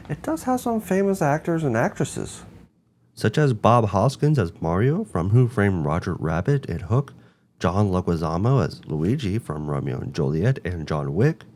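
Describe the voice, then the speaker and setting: A man's voice: on the low side, a little mellow, a little hiss or hum. A man narrating a scripted review as host, with emphatic, rhetorical phrasing. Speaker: host of a video game movie review show.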